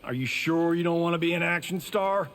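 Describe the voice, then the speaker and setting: A man's voice: on the high side, a little fast, in a large hall, noisy background, lightly acted. An actor voicing a smug, brash male movie star in a video game.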